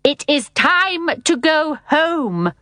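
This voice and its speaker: A woman voicing a prim, haughty storybook nanny with a sharp, indignant manner. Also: sits high, very animated, takes it slowly, no echo, clean studio sound, voice pushed up, full character voice. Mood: commanding.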